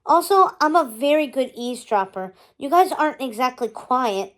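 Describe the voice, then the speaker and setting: A woman's voice: sits high, animated, normal speed. A woman voicing a smug, tattling young stepsister in a storybook, with a gloating manner.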